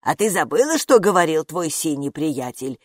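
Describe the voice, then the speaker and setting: A fretful gatekeeper, as a woman puts her on grumbles and scolds in a loud, lowish voice. The storybook reading is close and dry. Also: sing-song, normal speed, no room sound. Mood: scolding. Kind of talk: audiobook character reading.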